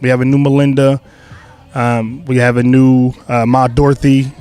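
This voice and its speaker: Relaxed young man, rambling answers. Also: medium pitch, moderate pace, clear, resonant tone, faint music behind. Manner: relaxed, loose, full of fillers and restarts. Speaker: playwright and creator of a stage play. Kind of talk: casual interview answers.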